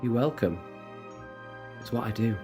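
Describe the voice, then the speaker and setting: A man's voice: medium pitch, unhurried, music in the background, close to an everyday voice. A man playing a kindly, assured time-travelling adventurer in an audio drama, reassuring and breezily understated. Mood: breezy, warm.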